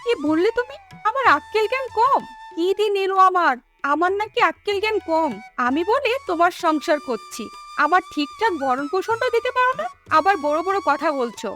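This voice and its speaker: A woman voicing a greedy, nagging young village wife in a cartoon who scolds her husband rapidly. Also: very high-pitched, very animated, a bit bright, heavily acted. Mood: indignant. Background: background music.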